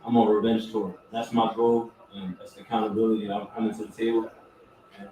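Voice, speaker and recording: A man's voice: fairly low pitch, little pitch movement, fast talker, dark tone. A man, a football player, speaking in a determined, confident way. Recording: dull, echoey, distant sound.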